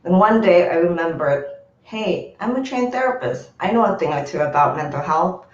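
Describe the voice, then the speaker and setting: A woman from Indonesia whose first language is Indonesian, giving a steady, well-rehearsed startup pitch over a video call. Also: normal speed, echoey room, dull audio.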